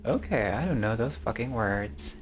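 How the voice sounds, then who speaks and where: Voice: medium pitch, expressive, normal speed, noisy background. A gamer reading a visual novel aloud in a casual let's-play style, breaking off into joking, sweary asides.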